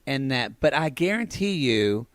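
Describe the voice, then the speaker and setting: A man's voice: mid-range voice, very animated, measured pace, no room sound, clean studio sound. A man co-hosting a podcast in casual chat, blunt and joking with a sassy edge. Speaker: podcast co-host.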